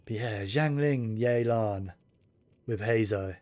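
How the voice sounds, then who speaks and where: A man chatting casually on a game livestream, rambling and thinking aloud in a low-key way. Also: takes it slowly, darkish tone. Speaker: game streamer. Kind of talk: casual livestream chat over gameplay. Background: game music.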